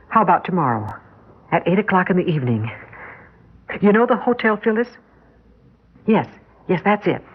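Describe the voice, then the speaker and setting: A woman's voice: medium pitch, husky. An actress playing a frightened young woman, pleading and anxious, in a 1950s radio drama. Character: frightened young woman harassed by phone calls. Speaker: lead actress and narrator. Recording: dull lo-fi old radio recording.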